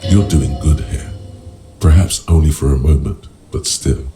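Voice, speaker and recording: A man voicing the grave inner voice of a weary detective in a video game, measured and brooding. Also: very deep voice. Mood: knowing.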